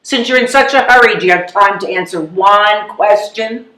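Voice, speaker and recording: A woman's voice: mid-range voice, normal speed, bright, forceful tone, natural voice. An older woman playing a forthright, wry senior lady in a readers theatre comedy, with pointed delivery. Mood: insistent, frank. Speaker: amateur readers theatre performer. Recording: roomy, echoing live stage recording.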